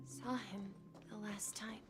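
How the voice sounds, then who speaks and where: A woman voicing a gentle, wistful young boy in a video game, speaking hesitantly over music. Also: medium pitch, fairly even intonation, natural voice. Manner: hesitant, halting. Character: gentle, wistful young boy recalling his lost grandfather. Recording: loud music under the voice. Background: loud game music.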